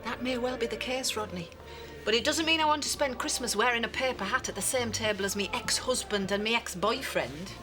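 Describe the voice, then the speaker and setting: A woman's voice: on the high side, brisk, over music, close to an everyday voice. An actress with a Northern English accent playing a proud, independent middle-aged woman in a soap, blunt and sardonic. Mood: guarded, dry. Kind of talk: naturalistic TV soap acting.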